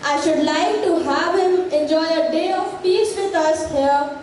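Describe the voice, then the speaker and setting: A woman playing a kind, pleading young daughter in a school stage play, with slow, earnest delivery. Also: very high voice, a little mellow. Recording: very echoey hall, faint background noise.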